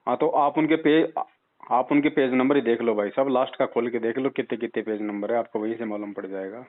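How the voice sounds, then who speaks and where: Tinny phone recording, man, medium pitch, insistent religious counsellor, persuasive, rhetorical questions. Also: steady tone, fairly quick. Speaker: religious devotee counselling a caller. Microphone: telephone. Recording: tinny band-limited phone call recording.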